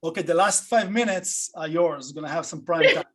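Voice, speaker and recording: A man casually hosting an online panel on a video call, talking off the cuff in an informal, halting way. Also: fairly high pitch, crowd noise. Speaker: host.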